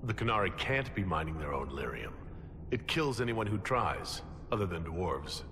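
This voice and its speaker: Commanding game hero, lowish pitch with lively inflection, composed and questioning, cavernous reverb. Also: male voice, moderate pace, noisy background. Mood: skeptical. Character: inquisitive, commanding male fantasy hero leading an expedition. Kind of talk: dramatic video game dialogue. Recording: long cavern reverb, very loud game ambience.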